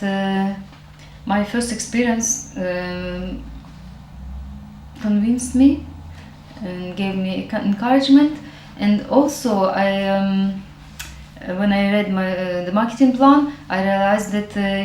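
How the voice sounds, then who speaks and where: Slow-spoken middle-aged woman from Ukraine. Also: medium pitch, darkish tone, a little hiss or hum. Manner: slow, halting, many fillers. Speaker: network marketing distributor. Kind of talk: personal testimonial. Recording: roomy echo, faint music.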